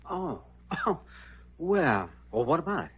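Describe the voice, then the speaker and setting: An actor playing a genial, wry young doctor who talks smoothly and schemes, in old-time radio drama style. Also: male voice, on the high side, sing-song, a little slow, full character voice. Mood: wry, amused. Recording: dull vintage radio recording.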